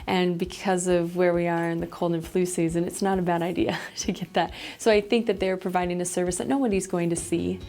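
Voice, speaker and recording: A middle-aged woman, a high school principal, talking casually in an interview. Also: flat delivery, fairly quick, few pauses, a little mellow.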